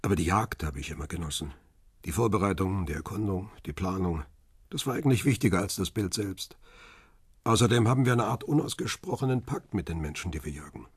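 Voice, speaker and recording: A man's voice: sits low, expressive, brisk, slightly rough, no echo, studio quality, full character voice. An actor playing a world-weary middle-aged paparazzo in a crime radio play, reflective and understated as he tells his story. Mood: defensive, frank. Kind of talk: radio drama, first-person narration and dialogue.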